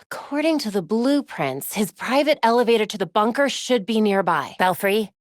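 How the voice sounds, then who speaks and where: A woman's voice: mid-range voice, animated, moderate pace, no echo, clean studio sound, natural voice. A woman playing a young female superhero in a video game, witty, determined and brainy, with a wry conversational delivery. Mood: focused, investigative.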